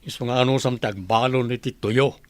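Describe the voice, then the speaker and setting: An actor playing a gruff, demanding uncle who orders a child around in a Filipino radio drama. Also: male voice, medium pitch, fairly even intonation, brisk, no echo, natural voice. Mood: bossy, irritated.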